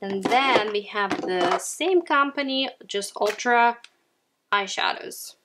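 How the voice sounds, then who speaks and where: A woman's voice: medium pitch, animated, slow, heavy background noise. Chatty woman with a Ukrainian accent. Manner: chatty, often addresses viewers as "you guys". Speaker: beauty vlogger. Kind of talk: casual makeup declutter chat. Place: at home, indoors.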